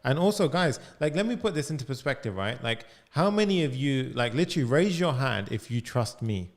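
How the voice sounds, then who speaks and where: A young man leading a live coaching call from his home studio. He speaks close to a studio mic and talks with lively energy, filling his sentences with "like" and "right?". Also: medium pitch. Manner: energetic, fast, full of "like" and "right?". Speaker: coding coach. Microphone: studio mic on boom arm, headphones.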